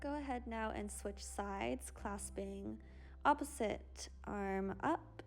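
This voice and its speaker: Yoga teacher, young woman, sleepy gentle cues with a gravelly edge, small room, soft music under. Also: mid-range voice, slow pace. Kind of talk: guided yoga class instruction. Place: small pink-lit room, indoors.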